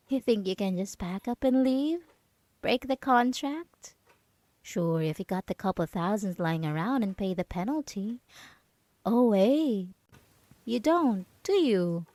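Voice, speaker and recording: A woman plays an obsessive yandere roommate in an ASMR roleplay, smug and manipulative. Her speech is slow and taunting, with a heavy fry in the voice and breathy dips toward a whisper. The sound is very dry and close. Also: mid-range voice, warm tone, no echo, full character voice. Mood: mocking, smug. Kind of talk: ASMR roleplay monologue, acted.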